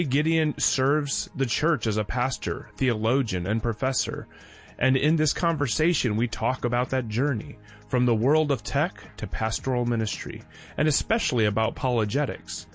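A man hosting a radio show, reading a scripted introduction in a measured way. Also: medium pitch.

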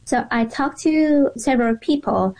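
A female journalist with a Vietnamese accent and a fairly high voice. She gives an informal, descriptive account as a guest in a radio interview. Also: expressive, unhurried, a little mellow, rough recording.

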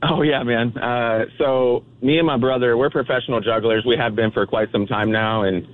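A man's voice: medium pitch, steady tone, moderate pace. A man phoning a radio show and telling a story casually over a narrow, tinny phone line. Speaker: radio call-in guest.

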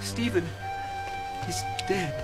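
A man playing an earnest, distraught believer bearing grim news in a radio drama, halting and emotional. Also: high voice, lively intonation. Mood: grieving. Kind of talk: dramatized radio play acting. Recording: music behind the voice.

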